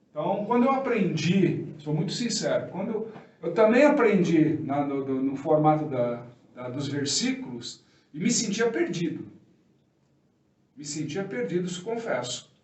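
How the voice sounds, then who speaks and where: A man's voice: high-pitched, a little mellow. A man with a Brazilian accent giving an informal, off-the-cuff sermon in a didactic, colloquial way, posing questions and answering them. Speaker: preacher giving an opening teaching. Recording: roomy, echoey, distant microphone.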